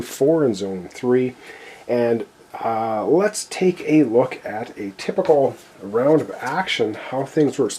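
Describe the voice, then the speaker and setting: Male tabletop game presenter. Mellow, slightly husky voice, casual step-by-step explainer delivery. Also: medium pitch. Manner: explanatory, talking viewers through step by step. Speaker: board game player and presenter. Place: indoors at a gaming table.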